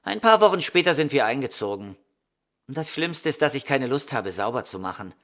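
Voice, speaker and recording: Polite, mid-pitched young man. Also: very animated, moderate pace, a bit bright. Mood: earnest. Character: polite, open young man, burdened and ingenuous. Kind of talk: crime radio play dialogue.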